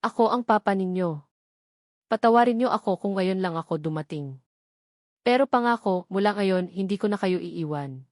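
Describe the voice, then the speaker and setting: A woman's voice: medium pitch, lively intonation, normal speed, a little mellow, dry recording, clean studio sound, natural voice. A woman with a Filipino accent voicing an earnest, repentant young father in a narrated love story. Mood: tender, apologetic.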